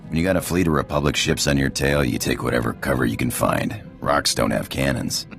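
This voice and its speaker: A man playing a cocky, roguish space pirate in a video game, with a gravelly drawl, casual slang and a flirtatious swagger. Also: fairly quick, over music. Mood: breezy, storytelling. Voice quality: gravelly, very deep voice. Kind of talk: video game character acting.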